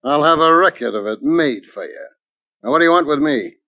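A man playing a gruff, sarcastic theater company manager in blunt 1940s radio drama style. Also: fairly high pitch, animated, fairly quick. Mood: sarcastic, impatient. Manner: sardonic, blunt. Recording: dull old-time radio recording.

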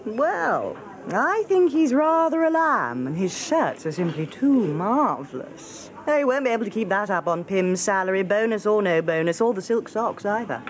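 An actress playing a jolly, slangy office copywriter in a British radio drama, with an upper-class English accent and a brisk, frank, drily witty manner. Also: female voice, mid-range voice, very animated, close to an everyday voice. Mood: gossipy, teasing.

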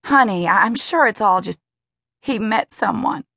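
A woman's voice: medium pitch, animated, normal speed, creaky, bright, forceful tone, no room sound, studio quality, voice pushed up, heavily acted. A woman voicing an anxious young woman who frets over intruders and fusses over her upset friend. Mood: anxious concern. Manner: nervous, solicitous.

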